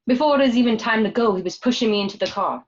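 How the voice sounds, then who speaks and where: A woman's voice: a little high, very fast, lightly acted. A woman playing an annoyed young woman, telling her story in an exasperated, matter-of-fact way. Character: annoyed young woman recalling a bad date. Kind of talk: dramatic table read, narrated recollection. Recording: dull lo-fi home call audio.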